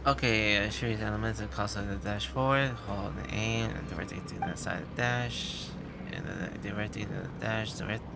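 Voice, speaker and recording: Low, creaky-voiced man streaming a game. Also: steady tone, heavy background noise. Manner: halting, muttering to himself. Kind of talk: casual live-stream play commentary. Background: game music.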